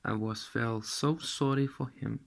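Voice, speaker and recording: A man in his thirties from Lithuania, speaking English with a Russian accent, slowly retelling a dream to a close-held phone camera in a small room, halting and repeating words as he searches for them. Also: mid-range voice, steady tone, vocal fry. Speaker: vlogger.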